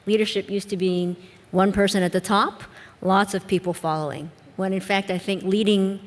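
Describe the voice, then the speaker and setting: A woman with a Midwestern American accent, an educator answering on a discussion panel in a measured, reflective way with frequent restarts. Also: medium pitch, fairly even intonation. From United States. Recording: long reverb of a conference hall.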